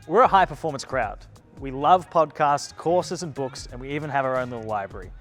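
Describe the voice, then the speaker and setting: A man with an Australian accent and a medium-pitched voice presents a scripted recruitment message. He speaks as a company presenter over a music bed. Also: fairly quick. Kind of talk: scripted recruitment video narration.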